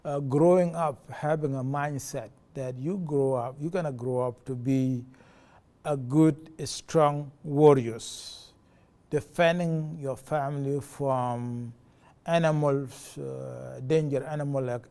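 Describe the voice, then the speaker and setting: A man in his forties from South Sudan, with a South Sudanese accent, recalling his village childhood in a halting way with many fillers and restarts. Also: mid-range voice, fairly even intonation, dark tone. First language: a South Sudanese language. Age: 40s. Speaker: interviewee. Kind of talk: personal testimony.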